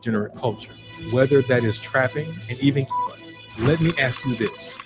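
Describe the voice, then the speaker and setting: A man reads a scripted narration in a steady, measured way, at an ordinary pitch for a man. Clear music plays under his voice the whole time. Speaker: video narrator.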